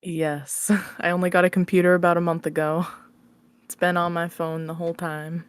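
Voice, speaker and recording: A woman with a slightly low voice talks as a guest in a casual interview. She is candid, informal and prone to giggling. Her sound is very dry, with hardly any room around it. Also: level tone, moderate pace, clean tone, dry recording. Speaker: interview guest, audio roleplay creator. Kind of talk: casual chat.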